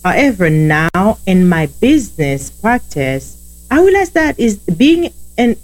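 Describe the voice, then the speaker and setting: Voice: mid-range voice, a little mellow, poor audio. A speaker with a heavy Haitian accent, a native Haitian Creole speaker, co-hosting a law firm podcast and chatting loosely with listeners. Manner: chatty, addresses listeners as guys, loose grammar. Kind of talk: casual podcast talk.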